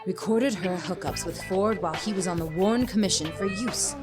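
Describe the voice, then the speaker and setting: A woman playing a highly educated, seductive porn star reciting scripted lines in a comedy sketch. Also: brisk, natural voice. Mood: poised, coy. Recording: loud music under the voice.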